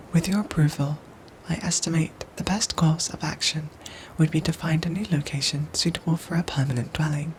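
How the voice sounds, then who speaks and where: A woman playing a protective android soldier in a whispered ASMR roleplay, speaking in soft, breathy, formal and precise phrases. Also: low-pitched, a little hiss or hum, low-quality recording. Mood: gentle, protective.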